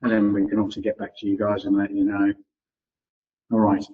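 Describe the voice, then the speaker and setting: Low husky man on a call. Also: monotone, a little fast. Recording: dull, husky video call audio.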